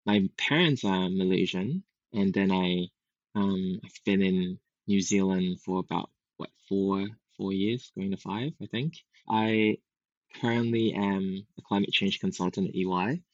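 A man's voice: deep voice, fairly even intonation, measured pace, no echo. A young man from Singapore with a Singaporean accent, speaking reflectively as a podcast guest, with frequent fillers and restarts. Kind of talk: casual conversation. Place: home. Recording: dull lo-fi home recording.

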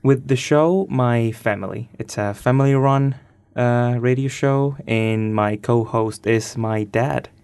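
A nineteen-year-old man from Chile giving casual, thoughtful interview answers as a radio guest, pausing hesitantly as he goes. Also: mid-range voice, darkish tone, clean tone.

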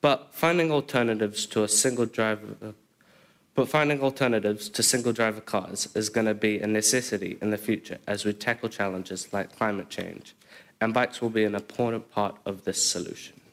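A man reading a prepared speech at a community event with steady, even delivery. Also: lowish voice, moderate pace. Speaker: community speaker.

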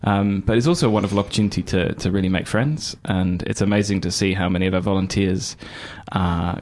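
British guy with a bit of kiwi in it, lowish voice, keeps restarting, upbeat. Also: darkish tone, slight background noise. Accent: British with New Zealand touches. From United Kingdom. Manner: halting, many fillers and restarts; upbeat and enthusiastic. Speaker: Red Cross volunteer coordinator. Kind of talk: radio interview guest.

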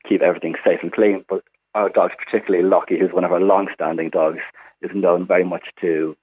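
A man's voice: sits low, slightly rough. An Irish man, a hospital physiotherapist, explaining his work in a chatty way with many fillers during a radio phone interview. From Ireland. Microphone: phone line. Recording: tinny phone line.

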